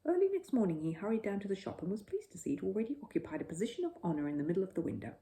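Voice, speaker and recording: A woman reading a children's bedtime story as an expressive narrator. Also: medium pitch, very fast.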